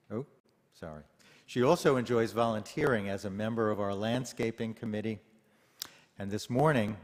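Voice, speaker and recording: A man introducing the speaker at a congregation's service, in a warm, formal way. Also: fairly low pitch. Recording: long hall reverb.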